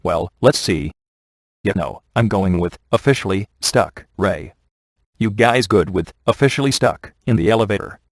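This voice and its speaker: A male actor plays a sarcastic, laid-back ghost hunter from a video game. His voice sits low and is recorded close and dry. He talks in glib wisecracks with a sardonic edge. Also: moderate pace, no echo, lightly acted. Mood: dry sarcasm. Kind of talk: scripted video game character dialogue.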